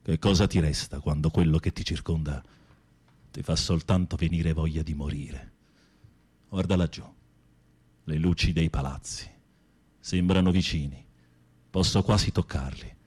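Husky, low-voiced theatrical prisoner. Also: male voice, fairly even intonation, darkish tone, exaggerated voice. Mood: dreamy, despairing. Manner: theatrical, sardonic, with drawn-out musing. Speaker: radio drama actor. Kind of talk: Italian radio theatre acting.

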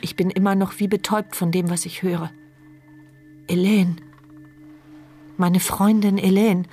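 An actress in a radio play playing a numb, betrayed woman, husky and breathy, questioning in intense dazed thought. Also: mid-range voice, steady tone, a little slow, dark tone, close to an everyday voice. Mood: stunned. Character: numb, betrayed woman piecing together the horrible truth.

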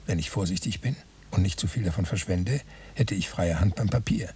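Low, gravelly fussy writer. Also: male voice, fairly quick, mellow, far from the mic, a little hiss or hum. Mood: fussy, brooding. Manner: self-questioning, fussy, methodical. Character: obsessive, muttering male writer calculating his supplies. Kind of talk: German radio play interior monologue.

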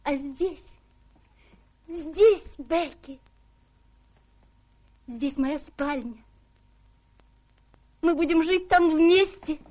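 A woman's voice: high voice, extremely slow, long pauses, a little mellow. An actress in a radio play voicing a tender, guileless young daughter with an earnest, girlish manner. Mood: happy, eager. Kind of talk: theatrical radio play acting.

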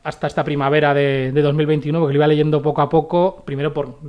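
A talkative man with a fairly high voice, co-hosting a radio show. He rambles and restarts as he chats through his book picks. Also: flat delivery, fast, smooth, muffled recording. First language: not Catalan. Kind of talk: casual radio chat reviewing books.

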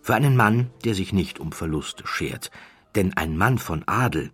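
Very husky, deep-voiced male narrator. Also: animated, a little slow, a bit bright, no echo. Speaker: audiobook narrator. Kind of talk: scripted literary narration.

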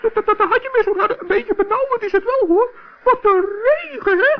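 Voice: brisk, slight background noise. An actor voicing a small, fussy, kindly male gnome with a tiny voice in an old children's radio play. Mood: resolute, indignant. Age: adult character. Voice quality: very high-pitched, small voice. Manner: excitable, earnest, chatty. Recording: dull, muffled old radio recording.

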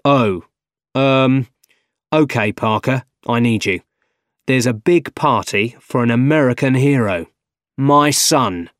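A man voicing a bossy, blustering middle-aged newspaper boss in a storybook reading, loud and exclamatory. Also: mid-range voice, takes it slowly, dry recording, clean studio sound, lightly acted. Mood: brisk, dismissive.

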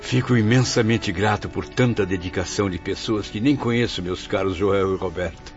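An actor playing a courteous, bewildered viscount among the dead in a Brazilian radio drama, theatrical and plaintive. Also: male voice, on the low side, normal speed, close to an everyday voice. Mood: yearning, anguished. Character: emotional, courteous, bewildered male viscount, newly dead spirit. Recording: dull lo-fi radio drama audio with music.

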